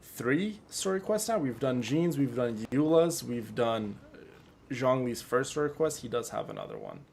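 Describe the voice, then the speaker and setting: A man's voice: mid-range voice, sing-song, measured pace, vocal fry, a little mellow, slightly rough. A man streaming a video game, chatting casually and earnestly with his viewers. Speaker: streamer and content creator. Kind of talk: casual game livestream chat. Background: game music.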